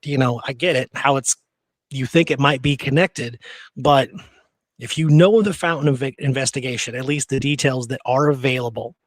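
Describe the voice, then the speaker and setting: A man's voice: medium pitch, normal speed, dry recording. A man co-hosting a history podcast in a casual, conversational style.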